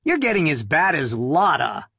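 A man plays a gruff, cautious technician in an audiobook story. He talks bluntly, with a sardonic edge. The recording is dry and close. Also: on the high side, huge pitch swings, moderate pace, sharp tone, no room sound, full character voice. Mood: dismissive.

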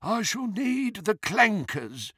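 Old goblin banker for an audiobook, way high voice, fussy n officious, super formal. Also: male voice, big pitch swings, slow, gravelly, bright, forceful tone, husky, no room sound, clean studio sound, heavily acted. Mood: eager, dazed. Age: older. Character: elderly male goblin bank teller, officious and fussy.

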